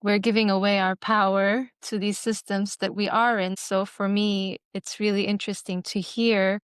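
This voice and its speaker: Woman interviewer, Arabic her first language, steady flat delivery, clear voice, measured questions. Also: mid-range voice, no echo, clean studio sound. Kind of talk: conversational interview.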